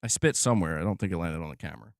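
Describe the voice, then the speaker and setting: A man commenting casually on gaming news during a live stream, animated and joking, often laughing and drifting into asides. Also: low voice, racing, no room sound, clean studio sound.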